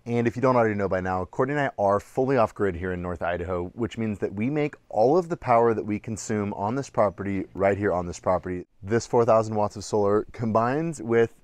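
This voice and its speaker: A man's voice: fairly low pitch, a little mellow, no echo. A man in his thirties casually narrating a home-build vlog, explaining technical details in an upbeat way, filmed on a close handheld camera. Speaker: DIY home-build vlogger. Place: attic, outdoor deck and indoor rooms of a barn home. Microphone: handheld action camera close up.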